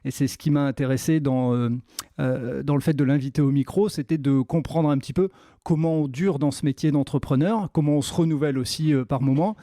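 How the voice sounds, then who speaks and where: A man's voice: on the high side, a little fast, mellow, dry recording, clean studio sound. A man hosting a podcast interview, introducing his guest in a friendly way with frequent fillers. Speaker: podcast host.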